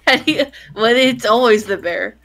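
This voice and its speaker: A woman's voice: a little high, moderate pace, fairly bright tone. A woman chatting casually and jokingly during a group reading. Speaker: voice actor in a group read.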